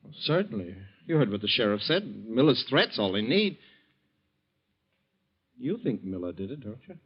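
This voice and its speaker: An actor playing a scheming young grown son in 1940s radio style, hesitant and falsely solicitous. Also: male voice, mid-range voice, big pitch swings, normal speed, husky. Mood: brisk, nervy. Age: young adult. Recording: dull vintage 1940s radio recording.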